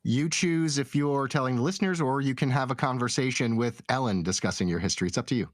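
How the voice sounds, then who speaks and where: A man's voice: medium pitch, fast talker, no room sound, studio quality. A man acting as game master, casually narrating and steering a tabletop roleplaying game.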